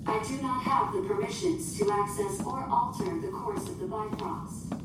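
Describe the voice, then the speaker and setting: A woman's voice: on the high side, lively intonation, moderate pace, over loud music, lightly acted. A woman playing a literal, formal ship computer in a science fiction audio drama, speaking in precise, emotionless phrasing. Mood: calm, formal. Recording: very echoey, distant, noisy ambience.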